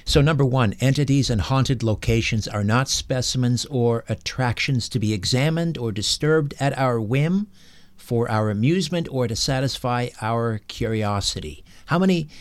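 A man hosting an interview, putting questions and points to guests in a measured way. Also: mid-range voice. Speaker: interviewer, show host.

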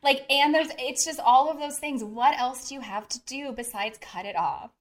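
A woman's voice: high voice, normal speed. A woman hosting an interview, telling a story about the guest with enthusiasm. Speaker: interview host. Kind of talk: casual interview chat.

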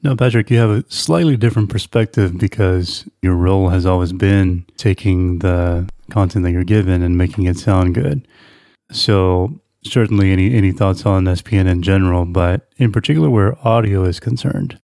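A man hosting a podcast conversation, putting questions to guests in a measured, courteous way. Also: sits low, vocal fry, mellow, no echo, studio quality. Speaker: podcast host, interviewer. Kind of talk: moderated conversation.

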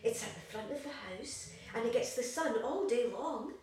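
A woman's voice: a little high, expressive, normal speed, darkish tone, natural voice. Actress playing an anxious, fussing mother, very echoey. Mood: insistent. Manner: insistent, nagging, doting on her baby. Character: anxious, fussing, controlling young mother and wife. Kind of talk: audio play acting. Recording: very echoey room, distant, faint hiss.

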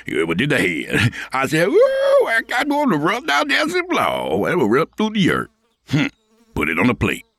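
A man's voice: a little high, huge pitch swings, moderate pace, fairly bright tone, dry recording, pitched above the performer's own voice, full character voice. A man doing a mumbling, half-crazy old hermit. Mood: excited. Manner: mumbling, rambling, garbled speech with sudden squeals.

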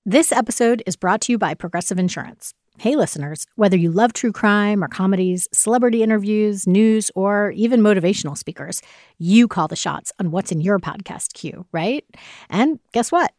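Woman ad reader, mid voice, bright friendly delivery, dry. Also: moderate pace, dry recording. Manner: friendly, upbeat pitch. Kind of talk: upbeat podcast ad read.

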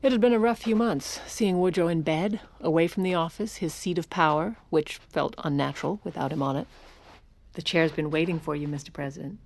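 A woman playing a poised, shrewd American First Lady of 1920 in an audio drama, measured and polished with a dry edge. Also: sits low, a little mellow. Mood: reflective. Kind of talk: audio drama dialogue and first-person narration.

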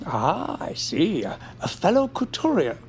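A woman playing a genteel, slyly mysterious male tailor creature in a family audio comedy, courteous and theatrical, with drawn-out musing. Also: lowish voice, big pitch swings, a little mellow, a little hiss or hum. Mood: courteous, intriguing.

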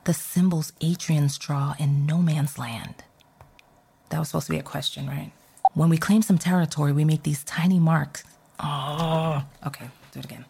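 Low, gravelly woman flubbing lines. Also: fairly even intonation, moderate pace, mellow, slight background noise. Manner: stumbles on tongue twisters, retries lines. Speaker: voice actor. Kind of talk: reading script lines, flubbed takes.

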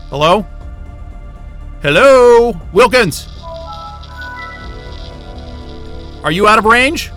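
A high, bright male voice as an angry scientist in a science fiction audio drama. He is sarcastic and foul-mouthed, incredulous at everything and quick to exasperation. Faint music can sit behind him. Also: full character voice. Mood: searching, irritated. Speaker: astrophysicist character in audio drama. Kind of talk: naturalistic sci-fi audio drama acting.

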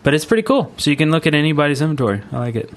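A man's voice: a little high, big pitch swings, racing. A man hosting a casual gaming podcast, explaining things in an informal, rambling way. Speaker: podcast host.

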